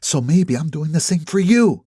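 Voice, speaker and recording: A man voicing an upset middle-aged woman who scolds a friend in a tense, breathy voice. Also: a little high, expressive, moderate pace, dark tone, dry recording, studio quality, pitched above the performer's own voice. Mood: quieter.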